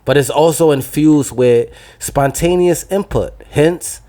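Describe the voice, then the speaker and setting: Loose-talking Dominican American podcast host. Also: male voice, a little high, a little slow, warm tone. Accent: Dominican American, New Jersey. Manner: loose, profane, switches into Spanish. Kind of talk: casual banter.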